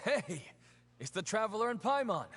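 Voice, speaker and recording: A man's voice: high voice, big pitch swings, normal speed, a little hiss or hum, exaggerated voice. A man playing a cheerful, eager young male guard in game dialogue. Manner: bright, eager. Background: game music.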